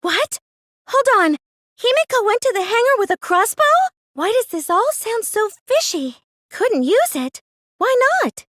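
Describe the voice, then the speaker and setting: A woman's voice: very high-pitched, sing-song, measured pace, a bit bright, no room sound, clean studio sound, full character voice. A woman playing a timid, earnest, nerdy teenage girl in an English video game dub, hesitant and prone to stammering when flustered. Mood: surprised, doubtful.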